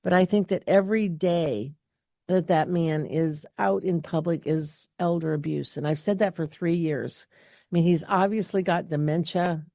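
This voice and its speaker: A middle-aged woman answers viewers' questions in a loose, chatty way, often stopping, restarting and filling gaps with "um". Her voice sits fairly low and sounds mellow and warm. The sound is close and dry. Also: moderate pace, gravelly, no room sound. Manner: chatty, rambling with many fillers and restarts. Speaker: health clinic host answering viewer questions. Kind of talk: casual live Q&A.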